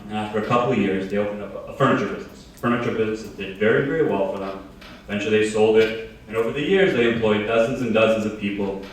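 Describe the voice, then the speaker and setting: A man's voice: on the low side, recorded from a distance, slight background noise. A man in his thirties giving a casual, joking keynote talk to a live audience, telling his story in a large conference hall. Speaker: keynote speaker. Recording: very echoey hall, heard from afar.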